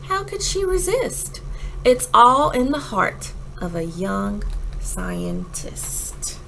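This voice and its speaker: A woman reads a picture book aloud for children in the manner of a teacher. Her voice is on the high side and swings widely in pitch. She takes her time, with dramatic pauses and emphatic phrasing. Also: big pitch swings, vocal fry, a bit bright, a little hiss or hum, poor audio. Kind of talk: expressive children's read-aloud, rhyming storybook narration.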